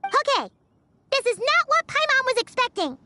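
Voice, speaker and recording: A woman voicing a tiny chirpy female companion creature who is excitable and talks about herself in the third person, in a video game. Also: normal speed, heavily acted. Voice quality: squeaky, childlike. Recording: game audio with music underneath.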